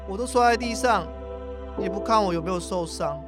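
A man's voice: sits high, fairly quick, lightly acted. Man acting young student grandson, music behind. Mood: sulky, aggrieved. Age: young adult. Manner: expressive, muttering to himself. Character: young male university student, sulky but caring grandson. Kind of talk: everyday radio drama acting. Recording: music under the voice. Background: new-age music.

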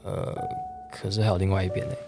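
A reserved, hesitant man in his forties played by a young male actor speaks low in a roomy, echoing space, with guitar music behind him. He is a wary, secret romantic from a radio drama. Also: little pitch movement, quick pace, vocal fry. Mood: anxious, hesitant. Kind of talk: radio drama acting with inner monologue.

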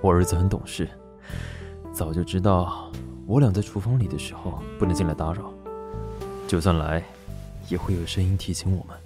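An actor playing a sly, teasing pastry chef father who speaks calmly in a low murmur. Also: moderate pace, lightly acted. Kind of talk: BL audio drama acting. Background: music.

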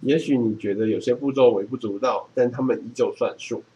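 A man's voice: mid-range voice, a little fast, mellow, poor audio. A man, reading from a book and explaining it in a casual chat. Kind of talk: reading a book aloud and chatting about it.

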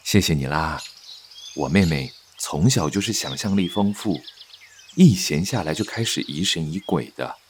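A man acts as an easygoing doctor in a radio play, a soothing but dismissive big brother. His very low voice swings widely in pitch. There is faint noise behind him. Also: animated, normal speed. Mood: reassuring, dismissive. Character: affable, dismissive doctor, older brother of the wife. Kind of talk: Mandarin radio drama acting.

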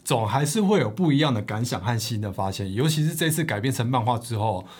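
A man's voice: medium pitch, expressive, quick pace, breathy edge. A man with a Taiwanese Mandarin accent co-hosting a podcast, discussing a book in a conversational way. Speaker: podcast co-host. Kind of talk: conversational book discussion about personal finance.

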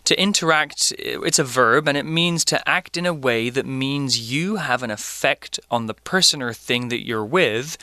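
A man co-hosting a lesson, explaining words in a clear, friendly way. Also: mid-range voice, bright, forceful tone, dry recording. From Britain. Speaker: English teacher co-host. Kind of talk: radio English lesson.

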